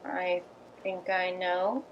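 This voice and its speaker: Woman as uneasy, loyal playwright's wife: genteel, unhurried, mid-pitched, radio-play delivery over faint rumble. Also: little pitch movement, muffled recording. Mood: guarded, dismayed. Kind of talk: recreated 1950s radio drama acting.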